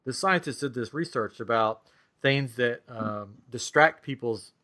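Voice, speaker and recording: A man with a bright, forward voice hosts a casual podcast chat. He speaks at an ordinary pitch in a loose, conversational style. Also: unhurried. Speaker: podcast host. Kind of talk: casual chat about comics and video games.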